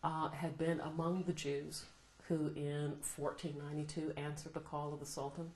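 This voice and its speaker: A middle-aged American woman, a law professor, answering interview questions in a thoughtful, measured way. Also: low voice, flat delivery, darkish tone. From United States. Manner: thoughtful, measured, laughs often. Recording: roomy echo, faint noise.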